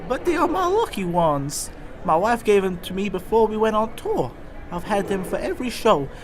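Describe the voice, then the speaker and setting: Man voicing whiny pig musician, wildly swooping pitch. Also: moderate pace, background noise. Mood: worried pleading. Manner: whiny, defensive, excitable. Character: anxious, sentimental male pig musician in a band. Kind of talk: amateur radio drama acting.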